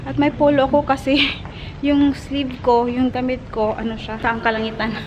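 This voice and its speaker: A woman's voice: high voice, flat delivery, a little fast, lo-fi sound, background noise. A young Filipino woman with a Filipino accent, chatting casually as a travel vlogger, filming with a handheld camera at arm's length. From Philippines. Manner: chatty, mixes Tagalog and English.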